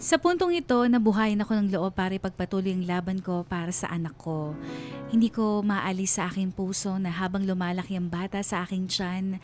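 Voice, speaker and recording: Radio drama narrator: reflective mother, played by a woman, slightly low voice, heartfelt first-person reading, music bed. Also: darkish tone, lightly acted. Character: reflective wife and mother recounting her troubled marriage.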